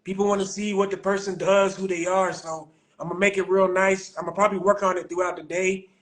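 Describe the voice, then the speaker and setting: An American man hosts a casual podcast over a live-stream call. He talks in a loose, slangy, easygoing way, leaning on fillers and repeats, and his voice sits a little above the usual male pitch. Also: level tone, brisk, a bit bright. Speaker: podcast host. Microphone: remote live-stream call.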